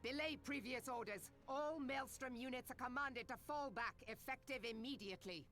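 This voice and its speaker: Tough lady admiral in a fantasy game, forceful n crisp, giving orders, music behind. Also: high voice, normal speed. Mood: commanding. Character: brisk, commanding woman admiral of a fantasy navy. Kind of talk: dramatic video game acting.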